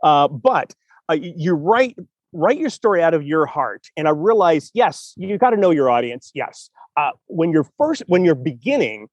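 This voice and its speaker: A man's voice: on the high side, sing-song, moderate pace, no room sound, dull audio. A man hosting a podcast, giving earnest, encouraging writing advice in a casual chat and repeating phrases for emphasis. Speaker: podcast host, writing coach.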